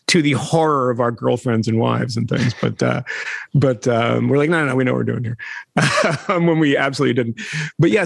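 A middle-aged man, a reporter guest on a podcast, talking in an animated way with wry asides and chuckles. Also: mid-range voice, gravelly, no echo, studio quality. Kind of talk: conversational interview.

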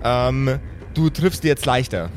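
A man, improvising as a tabletop game master, narrating the action and explaining rules in a halting way with repeated phrases. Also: medium pitch, expressive, soft background music.

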